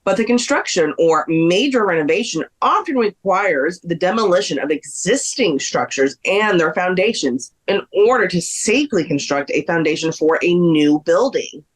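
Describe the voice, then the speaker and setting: A woman plays a female tour guide in a scripted read. She is polite and explanatory, with very animated intonation. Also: fairly low pitch, normal speed, hardly any pauses. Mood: explaining. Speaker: actor in a table read.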